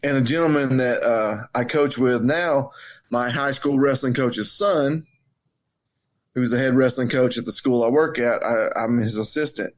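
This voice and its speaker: A man's voice: medium pitch, a little slow. A man chatting casually as a podcast co-host, blunt and joking, with plenty of swearing. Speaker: wrestling coach. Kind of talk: casual banter and storytelling.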